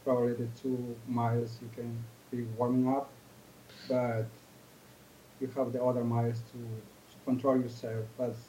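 A man from Ecuador with an Ecuadorian Spanish accent, a native Spanish speaker, answering as a podcast guest, earnest and halting. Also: mid-range voice, completely flat delivery, warm tone, slight background noise, poor audio. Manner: earnest, halting, searching for words. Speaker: guest runner. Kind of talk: podcast interview.

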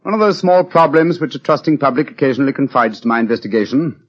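Gentleman detective, British accent, theatrical, eloquent, very animated, slightly raspy, muffled vintage radio. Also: medium pitch, quick pace. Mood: breezy, theatrical. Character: brisk, theatrical, self-assured gentleman detective. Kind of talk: 1940s radio acting. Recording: muffled old radio recording.

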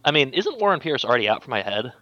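Wry pushy guy in a tabletop game, bright voice, muffled lo-fi mic. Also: medium pitch, sing-song, racing, no echo. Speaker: player. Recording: dull lo-fi mic.

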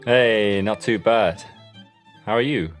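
Deep-voiced man with a hybrid Hull-Scottish accent. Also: slow, over music. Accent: mixed Hull and Scottish. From born in Hull, raised in Scotland. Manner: chatty, with frequent restarts and fillers. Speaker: podcast host. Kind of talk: casual interview chat.

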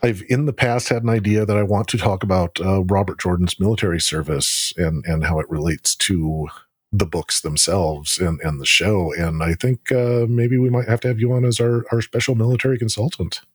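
A man with a husky voice and a dry, close sound hosts a casual podcast chat. He talks haltingly, with lots of fillers and restarts. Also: deep voice, fairly even intonation, no echo. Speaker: podcast host.

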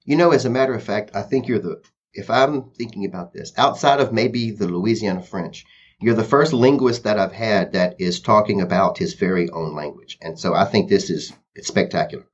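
A man's voice: on the low side, normal speed, slightly rough, dull audio. Rambling male podcast host. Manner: rambling, with many fillers and restarts. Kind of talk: casual podcast chat.

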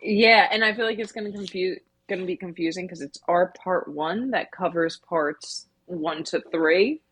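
A woman's voice: fairly low pitch, normal speed, fairly bright tone, husky, dry recording, poor audio. A woman co-hosting a podcast, explaining things casually in long winding sentences. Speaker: podcast co-host.

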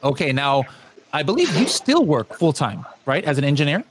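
A man's voice: a little high, big pitch swings, normal speed, slight background noise. A man hosting a podcast in casual interview chat, rambling with many fillers and restarts. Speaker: podcast host.

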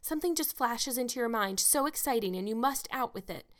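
A woman's voice: a little high, expressive, a little fast, natural voice. A woman voicing an eager, dramatic young orphan girl, earnest and wordy, in a storybook reading. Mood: excited protest.

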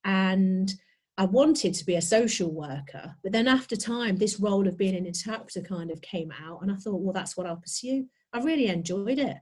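A woman's voice: mid-range voice, moderate pace, a little mellow. Chatty woman, middle-aged interpreter. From United Kingdom. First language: British Sign Language. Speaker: sign language interpreter. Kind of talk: podcast interview guest. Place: home, lockdown remote call.

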